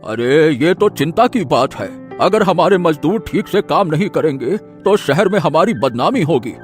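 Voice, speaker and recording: Man playing a high-voiced, kindly old boss. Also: expressive, measured pace, soft background music. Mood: worried. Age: older. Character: trusting, kindly elderly male factory owner.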